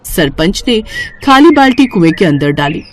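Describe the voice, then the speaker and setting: A woman's voice: lowish voice, very animated, normal speed, slight background noise. A woman narrating a scripted moral story for children.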